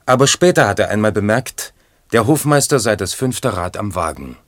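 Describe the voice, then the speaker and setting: A man playing a sober commentator in a literary radio play, narrating in a measured, matter-of-fact way. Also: fairly low pitch, lively intonation, fairly bright tone, no echo, clean studio sound. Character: sober male commentator recounting a poet's life.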